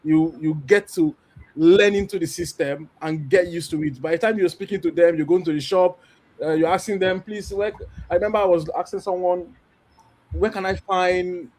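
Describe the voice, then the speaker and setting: A Nigerian-accented man in his thirties, speaking on a church panel, telling his story with lively acted-out dialogue. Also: sits high, rough recording. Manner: animated storyteller, acts out quoted dialogue. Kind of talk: candid testimony on a church panel.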